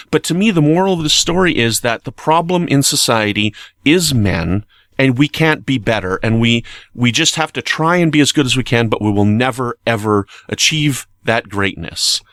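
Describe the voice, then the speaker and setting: A man's voice: medium pitch, fairly bright tone, dry recording. Man giving earnest opinionated commentary, dry sound. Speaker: podcast commentator reviewing a TV episode.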